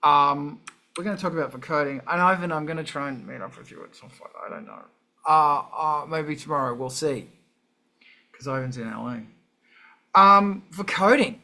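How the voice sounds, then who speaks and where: A man's voice: a little high, moderate pace, pushed and bright. A man hosting a casual livestream in a sweary, jokey, rambling manner. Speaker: show host.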